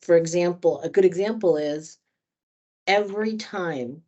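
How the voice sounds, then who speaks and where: A woman trainer with a slightly low voice talks through questions in a candid, thoughtful way. The sound is dull and lo-fi, like a video call. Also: measured pace, darkish tone. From United States. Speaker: trainer leading a workshop. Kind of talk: conversational training session.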